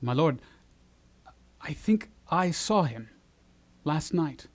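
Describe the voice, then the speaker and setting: A man's voice: fairly high pitch, expressive, slow pace. A man playing a prince's earnest, loyal friend in a theatrical Shakespearean puppet play, respectful in manner. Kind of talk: theatrical Shakespearean stage acting for puppet show. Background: loud music.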